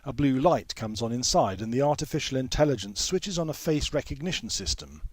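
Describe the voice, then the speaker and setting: Man reading a story with animated pitch. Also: brisk, dry recording. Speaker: author reading own story. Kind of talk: scripted audiobook narration.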